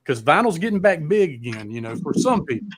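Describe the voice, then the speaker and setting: A man hosting a live music chat, talking casually and haltingly with loose swearing. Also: fairly high pitch, very animated, slight background noise, rough recording. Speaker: host of a live music talk show.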